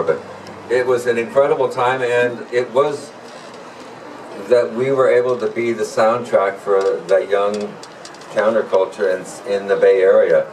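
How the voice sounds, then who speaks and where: Male ex-DJ, sixties, earnest and appreciative, speaking at a live event, distant miking with clear background noise. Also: on the low side. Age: 60s. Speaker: former radio disc jockey. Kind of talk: reminiscing to a live audience. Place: live event room with audience. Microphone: event microphone, recorded from a distance. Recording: distant mic in noisy room.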